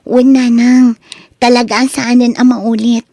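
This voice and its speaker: A woman playing a shy, soft-hearted young woman in an Ilocano audio drama, hesitant and meek, with an Ilocano accent. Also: fairly high pitch, little pitch movement, measured pace, no echo, clean studio sound. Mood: meek, grateful.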